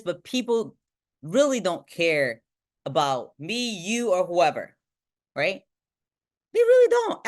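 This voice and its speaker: A lively woman coaches a group over a video call in an average-pitched voice. Her voice jumps high and drops low as she pushes her points, and she keeps checking in with "right?". It has the feel of an upbeat motivational session. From Bulgaria. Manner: energetic, tags nearly every point with "right?", swings between high and low pitch. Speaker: motivational speaker and team trainer. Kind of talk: motivational talk to an online group.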